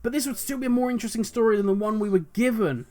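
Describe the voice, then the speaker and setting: A man hosting his own video, talking passionately and at length about game lore, his voice going hoarse. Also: high-pitched, rapid-fire, a little mellow. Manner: passionate, rambling, musing aloud. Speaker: video host. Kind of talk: solo commentary on game lore.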